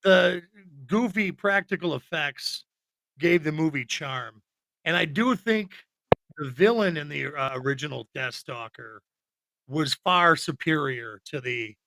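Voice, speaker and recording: A man's voice: on the high side, a little slow, vocal fry, sharp tone, no echo, low-quality recording. A man co-hosting a podcast, talking casually about films in a rambling, informal and opinionated way. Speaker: podcast co-host. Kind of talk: casual film chat.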